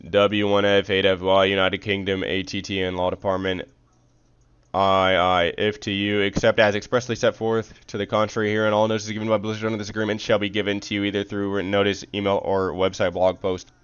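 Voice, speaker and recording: A man's voice: lowish voice, monotone, brisk, a bit bright, smooth. A man livestreaming, reading formal text aloud and slipping into slangy, casual speech, stumbling over words and correcting himself, on slightly dull audio. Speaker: live streamer.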